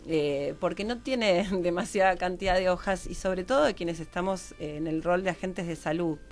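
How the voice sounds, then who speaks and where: Argentine lady on a radio interview, kinda low voice, keeps restarting and circling her point. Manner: halting, repetitive, searching for words. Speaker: guest researcher on gender and health law.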